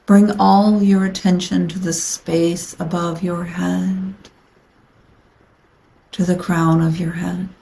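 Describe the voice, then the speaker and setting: A woman guiding a yoga nidra meditation in a soothing, unhurried manner with long pauses, her phrases trailing into breathiness. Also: on the low side, little pitch movement, vocal fry, warm tone, low-quality recording. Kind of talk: guided meditation.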